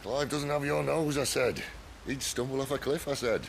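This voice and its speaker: An actor playing a commanding, cocksure warrior ally in a video game cutscene, theatrical and self-assured. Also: male voice, medium pitch, moderate pace, gravelly, slight background noise, lightly acted. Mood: dry, calm. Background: loud music.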